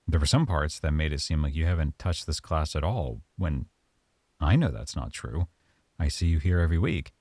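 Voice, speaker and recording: A man performing a calm, softly stern professor in an ASMR roleplay monologue, speaking close to the mic. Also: very deep voice, normal speed, husky, dry recording.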